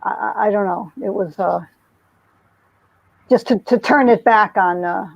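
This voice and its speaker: A woman's voice: medium pitch, a little slow, creaky, no echo. A woman in casual, unscripted chat, hesitant and searching for words, recorded with dull, dry sound. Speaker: member of a reading troupe.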